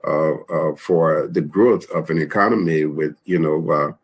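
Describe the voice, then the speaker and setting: A man from Liberia, speaking as a panelist and commenting in a discussion with many halting restarts. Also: extremely low pitch, slightly rough.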